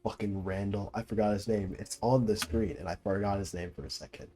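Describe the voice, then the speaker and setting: A man's voice: fairly low pitch, steady tone, brisk, mellow. A young man giving casual commentary as he plays a video game, slangy, profane and teasing. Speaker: streamer reacting to a video game.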